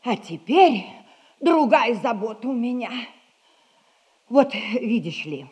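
An actress playing a chatty, fussy old servant woman with a husky voice, sighing folksily in a theatrical audio comedy. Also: on the high side, sing-song, slow pace, darkish tone, full character voice. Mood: flustered, chatty. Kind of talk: classic Russian stage comedy acting, audio play.

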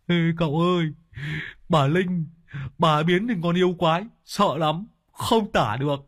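A man's voice: a little high, a little slow, pitched above the performer's own voice. A man voicing a panicky young male disciple, pleading frantically. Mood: frantic.

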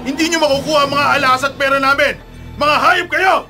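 A defiant older householder in a radio drama. He is forceful and indignant, pitched extremely high, with clear music behind him. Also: fairly even intonation, a little fast. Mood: outraged. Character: defiant older father fighting off robbers. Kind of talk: Filipino radio drama acting.

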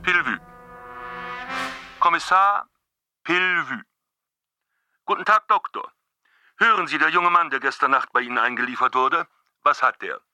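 Gruff, sharp-voiced police commissioner. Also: male voice, fairly high pitch, unhurried, pauses often, no room sound, faint music behind. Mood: brisk. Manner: grumbling, blunt, cursing. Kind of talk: German radio play acting.

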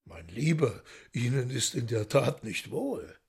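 Husky, creaky, polite, coolly superior, sinister devil, radio drama. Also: male voice, mid-range voice, big pitch swings, measured pace, full character voice.

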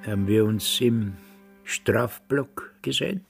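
Radio drama, smug elderly ex-Nazi officer: Austrian accent, creaky voice, rambling reminiscence, music behind. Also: male voice, lowish voice, takes it slowly. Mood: guarded. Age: older, past retirement. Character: self-satisfied old ex-Nazi, retired Austrian police major.

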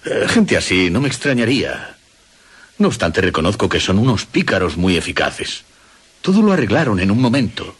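A man's voice: on the low side, sing-song, normal speed, breathy edge. An actor playing a wry, genteel older father and master of a castle in a radio drama. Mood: wry, disapproving.

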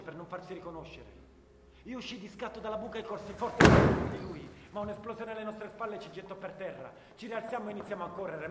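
A man's voice: high-pitched, close to an everyday voice. An actor playing a young First World War soldier, anguished and tender, telling his war memories as an intense theatrical monologue. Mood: urgent. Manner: intense, dramatic storytelling. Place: live radio theatre performance in a hall. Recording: echoey theatre hall, noisy distant recording.

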